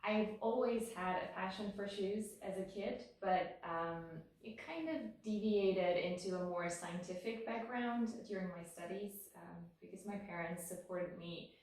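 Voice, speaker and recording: A woman's voice: mid-range voice, unhurried, few pauses, a little mellow, far from the mic. Woman in her thirties, roomy echo. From Belgium. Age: 30s. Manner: thoughtful, many ums and restarts. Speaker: guest. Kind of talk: casual interview answers. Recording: echoey room, voice a bit off-mic.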